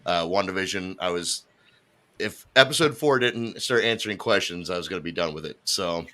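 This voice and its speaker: A man giving his take on a live discussion panel, measured and pausing on fillers. His voice sits low but comes out bright and forceful. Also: animated.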